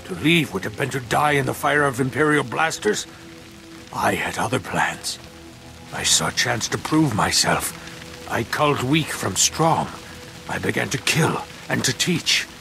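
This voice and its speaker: An actor playing a fanatical, bloodthirsty slave cult leader in a video game, speaking in a grandiose, reverent way. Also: male voice, medium pitch, expressive, a little slow, gravelly, sharp tone, soft background music, heavily acted, poor audio. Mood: zealous. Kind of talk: video game villain acting.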